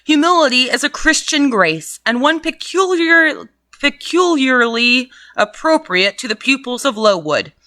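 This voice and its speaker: A woman's voice: high voice, sing-song, a little slow, sharp tone, exaggerated voice. A woman reading aloud the lines of a pompous, stern male clergyman in a storybook reading, with a preachy, moralizing manner. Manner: sanctimonious, moralizing.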